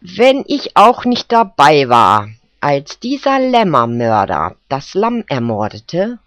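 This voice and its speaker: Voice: medium pitch, huge pitch swings, takes it slowly, fairly bright tone, dry recording, exaggerated voice. A narrator voicing a scheming male fox who gives false testimony. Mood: sly. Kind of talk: storybook character voice. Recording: dull, dry recording.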